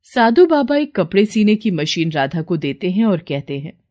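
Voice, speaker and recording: A woman's voice: medium pitch, big pitch swings, moderate pace, darkish tone, slight background noise. A woman storyteller reading a scripted moral tale as narration. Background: background music.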